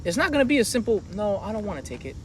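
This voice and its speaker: A man preaching outdoors on a city street, animated and slangy, calling listeners man and brother. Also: high voice, rapid-fire, a little hiss or hum. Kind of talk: impassioned outdoor street teaching.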